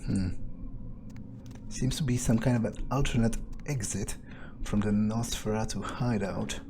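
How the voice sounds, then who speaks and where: A man playing a game in character, thinking aloud in a halting, musing way with casual swearing. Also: on the low side, fairly even intonation, vocal fry, noisy background. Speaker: let's player speaking in character. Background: game music.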